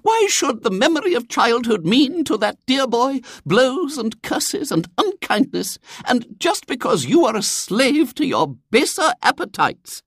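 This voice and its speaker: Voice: sits high, very animated, unhurried, hardly any pauses, a bit bright, husky, no room sound, studio quality, pitched above the performer's own voice, heavily acted. A storyteller voicing a prim elderly lady in an audiobook, earnest, preachy and fussily sympathetic. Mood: righteous reproach.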